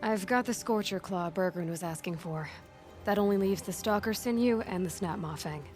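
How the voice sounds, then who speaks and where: Casting: female actor for capable young huntress, direct and sympathetic, medium pitch, game drama with music behind. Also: normal speed, a little mellow, natural voice. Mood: calm, friendly. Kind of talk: video game cutscene acting.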